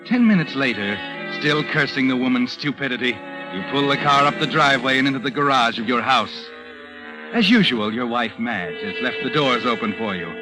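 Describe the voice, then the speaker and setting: A man's voice: medium pitch, normal speed, lightly acted, rough recording. A man acting as a sardonic, all-knowing narrator of an old radio mystery, taunting and insinuating as he addresses a criminal. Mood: ominous, taunting. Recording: old radio recording, loud music behind. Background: loud dramatic music.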